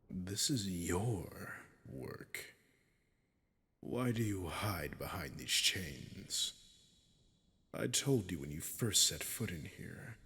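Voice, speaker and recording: A man playing an imperious, possessive dragon king in a fantasy audio roleplay, speaking formally and deliberately in a husky growl that sinks into whispers. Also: deep voice, fairly bright tone, exaggerated voice. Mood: cold, menacing. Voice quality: husky, gravelly, breathy growl that drops into whispers. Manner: slow, formal, commanding, deliberate pauses. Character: imperious, possessive dragon king, menacing yet courtly suitor. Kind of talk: scripted fantasy audio roleplay addressed to the listener.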